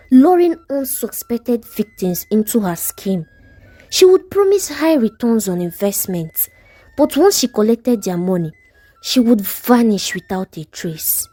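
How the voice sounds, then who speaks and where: A woman's voice: medium pitch, warm tone, husky. A woman with a Nigerian accent narrating a scripted folktale as a storyteller.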